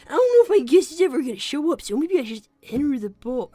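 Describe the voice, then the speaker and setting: A woman's voice: high-pitched, sing-song, fast, warm tone, no room sound, faint music behind. A woman voicing an anxious, earnest young boy fox who stammers defensively on a character livestream. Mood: conversational. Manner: stammering, flustered. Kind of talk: improvised character livestream with donation reading.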